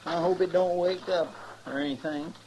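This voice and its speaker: A highish-pitched man acting as a homespun cowboy sidekick with a rural American drawl. He is chatty, naive and a bit fretful. It sounds like vintage western radio, with clear background noise. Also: steady tone, normal speed, dull audio, natural voice. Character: folksy, fretful, loyal frontier deputy sidekick. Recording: noisy vintage radio recording.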